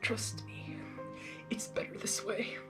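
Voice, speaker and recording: A woman voicing a weak, tender male chimera creature in a storybook reading, speaking in a soft, breathy, resigned half-whisper. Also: slow pace, faint music behind, close to an everyday voice. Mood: weak, sorrowful. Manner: faint, gentle, resigned.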